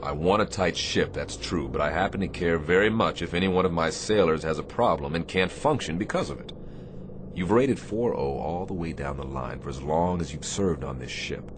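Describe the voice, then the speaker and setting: Deep-voiced stern Navy captain, played by a man. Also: natural voice. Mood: firm, concerned. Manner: commanding, sardonic, deliberate phrasing. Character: stern, demanding but caring male Navy destroyer captain. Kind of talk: radio theatre acting. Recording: clear background noise, shipboard ambience.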